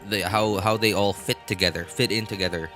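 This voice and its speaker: A man livestreaming games in casual chat, talking in a halting, rambling way and often repeating himself. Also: lowish voice, fairly even intonation. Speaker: live game streamer. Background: music.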